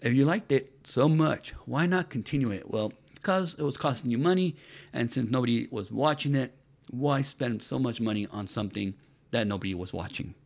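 A man's voice: mid-range voice, normal speed, gravelly. A man giving mocking commentary on entertainment news, sarcastic and fond of repeated rhetorical questions. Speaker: commentary channel host.

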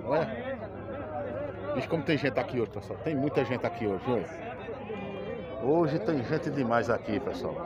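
A man's voice: mid-range voice, very animated, measured pace, long pauses, muffled recording. A man with a Northeastern Brazilian accent chatting casually to viewers as a market vlogger, repeating prices back. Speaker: vlog host touring a livestock market. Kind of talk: casual on-the-spot vlog chat. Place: outdoor, crowded livestock market. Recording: busy outdoor crowd noise.

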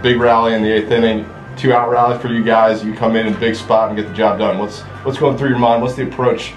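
A man's voice: mid-range voice, little pitch movement, fairly quick, roomy sound, faint music behind, poor audio. A man moderating a post-game press conference, asking the coach and players questions.

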